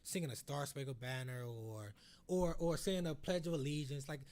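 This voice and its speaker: A man's voice: medium pitch, fairly even intonation, moderate pace, a little mellow. An American man co-hosting a podcast, chatting reflectively in slangy, sometimes profane speech and repeating phrases as he goes. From United States. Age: adult over 25. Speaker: podcast co-host. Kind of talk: casual reflective conversation.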